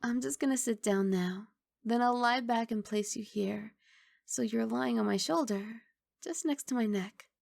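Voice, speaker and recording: A woman voicing a kindly young giant dragon girl in an ASMR roleplay, speaking in a soft, coaxing, reassuring and slightly bashful way. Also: fairly high pitch, a little slow, creaky, no echo. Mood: tender, caring.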